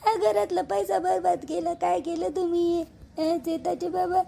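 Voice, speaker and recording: A woman's voice: very high voice, fairly even intonation, moderate pace, vocal fry, dark tone, a little hiss or hum, full character voice. A woman playing a wronged young wife, crying and reproachful. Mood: tearful reproach. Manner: sobbing, reproachful. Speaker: actor in a family drama skit.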